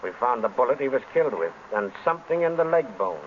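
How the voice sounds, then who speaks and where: A man playing a harried, blunt police inspector in 1940s radio mystery style, talking brisk and colloquial. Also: medium pitch, very animated, natural voice. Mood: matter-of-fact. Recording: muffled old radio recording, faint noise.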